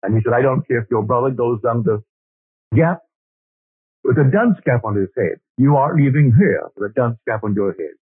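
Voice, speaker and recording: An older man from Barbados lectures earnestly, weaving stories with quoted scripture and verse. His voice is husky and dry, heard through a narrow, lo-fi old recording. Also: medium pitch, expressive, normal speed, dry recording. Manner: earnest storytelling, quoting scripture and poetry. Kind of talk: spiritual lecture. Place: lecture hall. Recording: old lo-fi tape recording, phone-like band.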